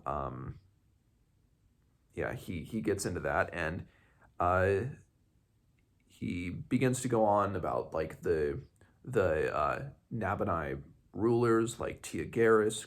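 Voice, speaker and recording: A man hosting a casual book discussion, speaking haltingly as he searches for words and corrects himself. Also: low-pitched, lively intonation. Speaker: host of a book read-along. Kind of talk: casual book discussion with some read-aloud passages.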